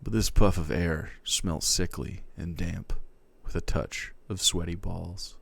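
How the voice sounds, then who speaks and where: A man streaming, reading fan fiction aloud in a theatrical, comic way, shifting between hushed and loud. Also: sits low, steady tone, measured pace, vocal fry.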